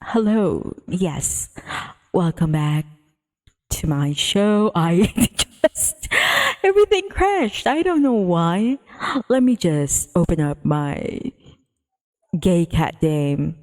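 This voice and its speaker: A woman's voice: lowish voice, expressive, slow pace, darkish tone. A woman livestreamer chatting casually as she sets up a game, giggly and given to exclamations. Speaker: livestreamer host. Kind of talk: casual livestream chat while setting up and playing a visual novel. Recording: music playing behind the voice. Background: background music.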